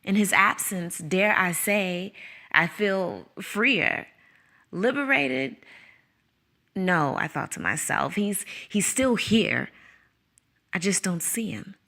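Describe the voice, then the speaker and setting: An actress playing a young woman schoolteacher who narrates her inner conflict in a soft, reflective way. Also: mid-range voice, expressive, takes it slowly, heavily acted. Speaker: narrator and lead character. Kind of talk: audio drama acting.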